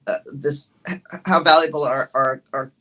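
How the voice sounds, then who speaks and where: A woman from Ecuador chatting as a guest musician, passionate and halting, with many restarts. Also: sits low, steady tone, fairly bright tone. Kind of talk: casual interview chat.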